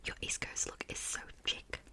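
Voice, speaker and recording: A woman's voice: normal speed, natural voice. A woman voicing a gossipy, fashion-obsessed teenage boy in a whisper.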